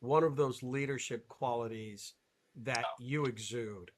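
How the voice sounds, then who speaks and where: A man hosting a live webinar interview, talking in an animated, emphatic way and laughing often. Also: medium pitch, measured pace, slight background noise. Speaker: webinar host.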